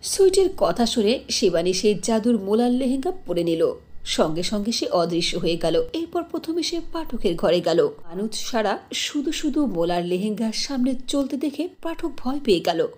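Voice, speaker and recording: A woman reads a fairy tale as its narrator. Her voice is slightly rough and husky, and her intonation rises and falls widely. The delivery is scripted storytelling. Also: medium pitch, sing-song, normal speed, darkish tone.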